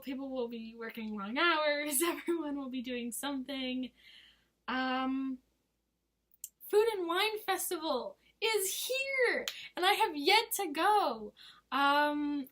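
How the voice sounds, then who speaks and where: A woman in her twenties, hoarse from losing her voice, chatting casually in a rambling, giggly vlog. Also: sits high, a bit bright. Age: 20s. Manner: rambling and giggly, full of fillers. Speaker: vlogger. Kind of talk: casual chat to camera.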